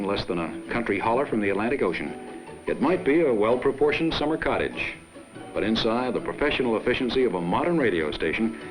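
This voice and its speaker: A man reading scripted 1950s promotional film narration in a measured, formal announcer style on a vintage soundtrack. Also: medium pitch, poor audio. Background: background music.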